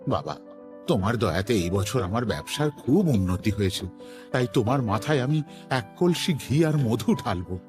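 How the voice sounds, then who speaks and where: Man playing a pious, medium-pitched cartoon devotee. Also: lively intonation, normal speed, darkish tone, soft background music, lightly acted. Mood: grateful, pleading. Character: grateful, pious businessman devotee. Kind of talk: cartoon voice acting.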